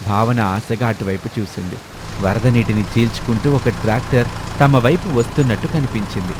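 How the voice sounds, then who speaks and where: Man, storyteller, scripted narration, mid-pitched voice, clear rain and vehicle noise behind. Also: a little fast.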